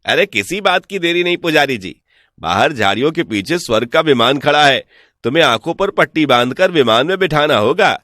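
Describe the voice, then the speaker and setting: A man voicing a sly, smooth-talking village swindler in an animated folk tale, persuasive, boastful and falsely respectful. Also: on the high side, brisk, no room sound, clean studio sound.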